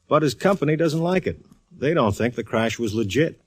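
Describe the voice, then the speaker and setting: An actor playing a dry, easygoing male police inspector in a 1940s radio drama, bantering in a deadpan way. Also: medium pitch, normal speed, slightly rough, dry recording.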